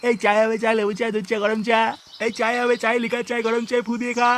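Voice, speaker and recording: A man's voice: extremely high pitch, flat delivery, quick pace, background noise. A man voicing a poor, luckless street tea seller in an adult comedy cartoon, whiny and repetitive. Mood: hawking.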